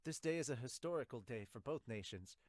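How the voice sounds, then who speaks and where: Young man, mid-range voice, amateur manga dub read, slightly halting, close dry home recording. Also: mid-range voice, expressive, a little mellow, no room sound. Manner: halting reading with stumbles. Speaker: podcaster reading a manga aloud as an amateur dub. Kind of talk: amateur dramatic read-aloud of manga dialogue. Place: small home room, indoors. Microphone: large studio mic with pop filter, close.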